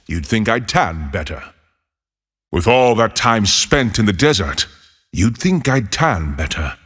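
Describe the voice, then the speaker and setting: An actor playing a fiery exiled male desert wanderer for a game, with a gravelly, husky voice and a slow, solemn delivery with dry wit. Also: on the low side, fairly bright tone, in a large hall, full character voice. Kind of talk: game voice lines.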